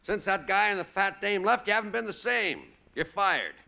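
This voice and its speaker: An actor playing a gruff, impatient diner owner with a brusque, bossy manner, in the style of 1940s radio comedy. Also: male voice, high-pitched, animated, moderate pace, pushed and bright, exaggerated voice. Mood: angry.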